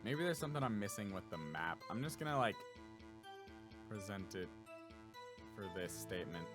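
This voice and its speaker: A man's voice: on the low side, sing-song, normal speed, over music. A man giving casual gameplay commentary as he plays through a game. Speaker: voice actor playing a game.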